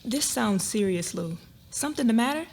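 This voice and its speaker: A woman's voice: a little high, animated, normal speed, a little mellow. A woman playing an affectionate middle-aged wife and mother, chatty and easygoing, in a dramatic reading. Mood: curious, affectionate.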